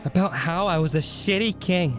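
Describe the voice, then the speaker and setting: A man playing a guilt-ridden, defensive teenage son in a comic dub, hesitant and evasive, halting as he talks. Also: sits high, loud music behind the voice, lightly acted. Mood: bitter. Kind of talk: amateur comic dub voice acting.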